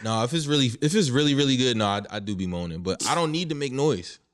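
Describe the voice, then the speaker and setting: A man's voice: medium pitch, animated, quick pace. A young man co-hosting a casual podcast, talking in a laid-back way and defending himself through jokes. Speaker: podcast co-host.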